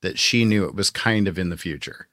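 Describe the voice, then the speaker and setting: A man with a slightly deep voice in a dry recording. He co-hosts a casual podcast, speaking informally and with a fan's enthusiasm. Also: expressive, fairly quick, bright, forceful tone, no room sound. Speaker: podcast co-host. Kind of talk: casual fan discussion.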